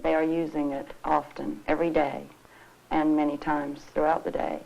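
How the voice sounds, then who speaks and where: Middle-aged mother in documentary interview, low, flat voice with heavy fry, earnest and halting. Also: dull audio. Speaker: parent being interviewed. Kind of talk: unscripted interview testimony.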